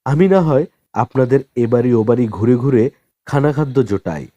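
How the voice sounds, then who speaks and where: Guy reading out a humble village imam in an audiobook, earnest n pleading, mellow voice, dry close sound. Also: medium pitch, no room sound. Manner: formal, earnest, pleading address to a congregation. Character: humble village mosque imam, pleading over unpaid wages.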